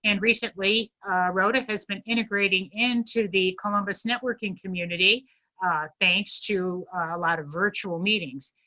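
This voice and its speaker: Middle-aged lady guest speaker doing a business talk online, bright clear-cut voice, polite and formal. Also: mid-range voice, fairly even intonation, moderate pace, low-quality recording. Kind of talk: prepared business presentation to an online networking group. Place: online video meeting.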